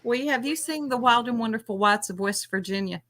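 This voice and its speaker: A woman's voice: a little high, a little fast, fairly bright tone. A woman hosting a casual panel chat, mocking and taunting someone with frequent laughter. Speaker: host.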